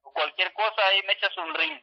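Lo-fi phone call, man, fairly high voice, northern Mexican accent, casual slang, evasive. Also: fairly even intonation, brisk. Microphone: telephone call. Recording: dull lo-fi telephone line.

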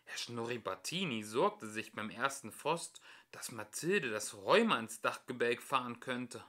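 A young man reading a children's storybook aloud as an amateur narrator, with expressive storytelling. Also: mid-range voice, unhurried.

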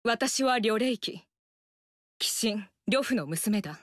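A woman's voice: on the high side, expressive, slow, no echo, studio quality. An actress voicing a stern, earnest young woman warrior in a video game, speaking in a formal, stiff manner. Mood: stiff sincere. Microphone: studio mic, dry.

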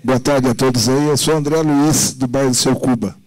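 A man, a bar owner recounting his troubles haltingly at a public meeting on a handheld mic. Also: mid-range voice, fairly even intonation, a little mellow, low-quality recording. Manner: aggrieved, halting storytelling. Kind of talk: public testimony. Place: council meeting chamber.

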